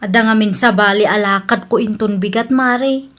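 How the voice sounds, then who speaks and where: A woman's voice: medium pitch, normal speed, fairly bright tone, natural voice. A woman acting a secretive, carefree housemaid in an audio drama, coy and dismissive, with an Ilocano Filipino accent. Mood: evasive.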